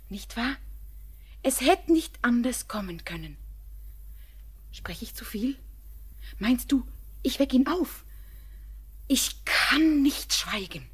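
A woman's voice: fairly high pitch, husky, heavily acted. An actress playing a tender, anxious young bride who pours her words out in a half-whisper. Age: young adult. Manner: breathless, half-whispered outpouring. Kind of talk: classic radio play acting.

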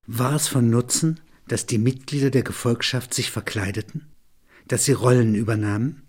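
A man's voice: mid-range voice, steady tone, normal speed, darkish tone, slightly rough. A man narrating in a literary register, as a reader and commentator.

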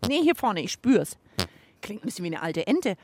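A woman co-hosting a science show for children in a chatty, lively style. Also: mid-range voice, normal speed, slightly rough, dry recording. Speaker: children's science podcast host.

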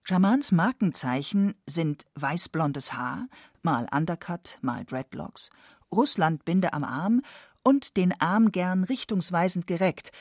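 A woman's voice: fairly low pitch, expressive, slow pace, a little mellow, no echo, studio quality. A woman reading scripted narration as a documentary narrator.

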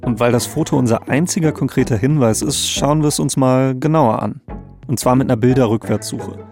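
A man's voice: medium pitch, a little fast, darkish tone. A man co-hosting a podcast, narrating a scripted story in a conversational way. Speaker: podcast co-host. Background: faint background music.

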